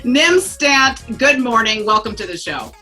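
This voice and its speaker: A woman's voice: on the high side, very animated, a little slow, sharp tone, faint music behind. A woman hosting a talk show, bubbly and playful, joking about herself as she introduces a guest. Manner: bubbly, playful, self-deprecating. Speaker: show host. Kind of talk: casual interview chat.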